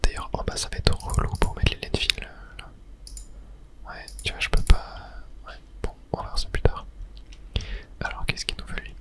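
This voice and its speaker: A person whispering softly through casual ASMR video game commentary, murmuring play-by-play with little filler words. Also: moderate pace. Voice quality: soft unvoiced whisper. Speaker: gaming ASMR creator.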